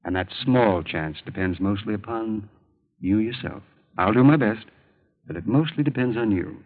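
An actor playing a reserved, evasive doctor in an old radio drama, with a measured, formal delivery and a husky voice. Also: male voice, lowish voice, close to an everyday voice. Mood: grave. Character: grave, reserved male doctor, middle-aged and evasive. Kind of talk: 1940s radio drama acting. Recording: thin phone-like old radio broadcast.

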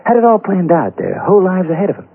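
A folksy small-town man of the Old West in a vintage radio drama. He speaks earnestly and haltingly, with lively swings of pitch and a rough, husky tone. The recording is dull and muffled. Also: heavily acted. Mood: earnest, reflective. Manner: folksy, hesitant, emphatic storytelling. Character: small-town western man earnestly recounting a family's dark history. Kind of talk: 1970s radio drama acting, western.

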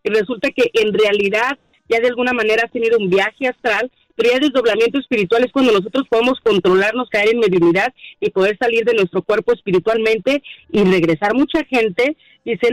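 A woman's voice: a little high, a little fast, no echo, rough recording. A woman from Mexico explaining things earnestly as a guest dream interpreter in a radio talk.

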